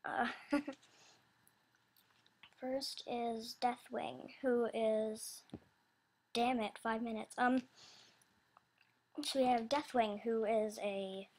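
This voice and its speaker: A young woman with a high, youthful, slightly childish voice talks casually and off the cuff. She speaks slowly, rambling and hesitating as she searches for the right words. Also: steady tone, a little mellow, slight background noise. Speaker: video creator announcing animation voice auditions. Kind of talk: casual unscripted chat.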